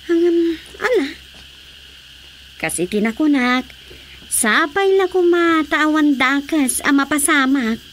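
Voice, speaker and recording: A woman's voice: high voice, expressive, slow, long pauses. A woman playing a chatty, concerned village woman in an Ilocano radio drama comedy. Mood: chatty, worried.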